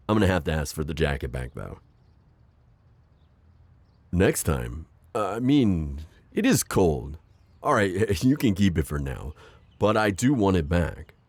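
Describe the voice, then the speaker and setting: A man voicing a gentle, self-deprecating divorced construction-worker dad in an ASMR roleplay, hesitant and stammering with soft jokes. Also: deep voice, no echo, exaggerated voice. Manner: hesitant, full of ums and stammers, joking softly. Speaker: voice actor.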